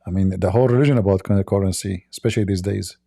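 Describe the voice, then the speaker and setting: A man's voice: low-pitched, fast talker, creaky, warm tone. A man whose first language is not English, hosting a livestream and mocking video clips in broken English, laughing often. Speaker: livestream host.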